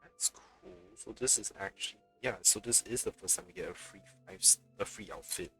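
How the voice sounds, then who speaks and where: A man's voice: lowish voice, brisk, bright, forceful tone, faint music behind. A man giving casual live commentary as he plays a video game. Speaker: game streamer.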